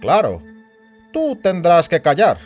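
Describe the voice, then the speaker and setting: Man acting a high-voiced, scheming senior boss. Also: huge pitch swings, takes it slowly, darkish tone, exaggerated voice. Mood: conspiratorial. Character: Rachen, scheming, worried senior boss, melancholy and bossy. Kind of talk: scripted Spanish audio drama acting. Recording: music bed behind the voice.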